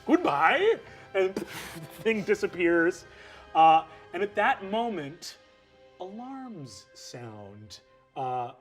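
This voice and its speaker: A man narrating a tabletop game as game master, in an unhurried, amused way with fillers. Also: a little high.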